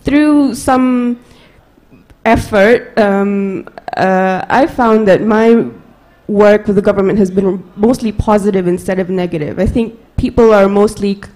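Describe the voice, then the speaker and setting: A woman answering on a panel, speaking with many fillers and pauses. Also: medium pitch, a little mellow.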